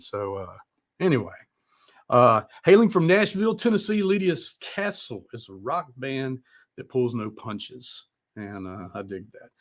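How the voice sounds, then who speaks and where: A middle-aged man hosting a live music show in casual, rambling, mildly profane interview chat. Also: medium pitch.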